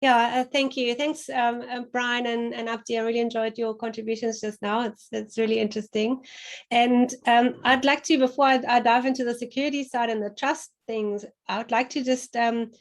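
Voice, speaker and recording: A woman's voice: a little high, flat delivery, normal speed. A woman with a German accent, speaking as a panelist and thinking aloud with many fillers and restarts. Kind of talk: panel discussion.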